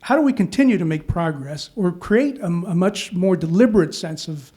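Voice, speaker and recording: A man moderating a panel discussion, framing long, measured questions and checking in with the room. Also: high-pitched, expressive, dark tone.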